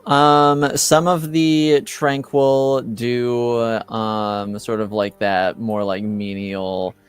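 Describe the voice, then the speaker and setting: A man explaining a tabletop game world as game master, in halting, tentative phrases. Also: medium pitch, steady tone, smooth, soft background music.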